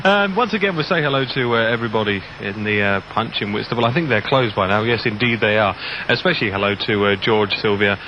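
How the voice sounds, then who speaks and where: A man's voice: fairly low pitch, quick pace, lo-fi sound, slight background noise. A man hosting a music radio show, chatting casually in a cheerful, jokey, talkative way. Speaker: radio host. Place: studio aboard a radio ship at sea. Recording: offshore radio aircheck, music behind.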